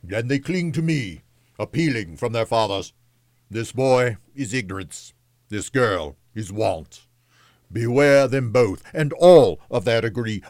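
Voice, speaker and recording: A man's voice: fairly low pitch, darkish tone, dry recording, clean studio sound, pitched above the performer's own voice. A man voicing a solemn, sorrowful spirit who warns of doom in a grave, emphatic storybook reading. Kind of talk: dramatised classic audiobook reading.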